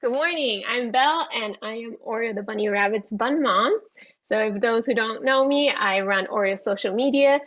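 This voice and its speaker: Woman from thailand chatting as a guest, a bit high, dull lo-fi sound. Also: moderate pace. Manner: friendly, laughs often. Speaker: guest, pet social media creator. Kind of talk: casual interview chat.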